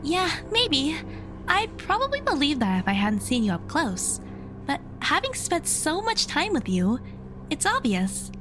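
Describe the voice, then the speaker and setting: A woman playing an earnest, hopeful, caring young woman in an audio drama, sincere and gently insistent. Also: high-pitched, wildly swooping pitch, a little slow, over music, exaggerated voice. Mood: gentle, hopeful.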